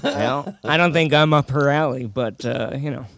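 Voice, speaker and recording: A man's voice: a little high, normal speed, creaky, a bit bright, dry recording. A young man working as a comedian on a radio show, trading casual jokes in a dry, sardonic way. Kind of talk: casual joking banter.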